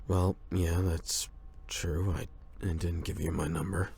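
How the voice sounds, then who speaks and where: A man playing a gruff, impatient teenage delinquent with a hidden caring side, brusque and defensive in an anime roleplay audio. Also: deep voice, darkish tone, slight background noise, heavily acted. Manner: brusque, exasperated, defensive, with sighs.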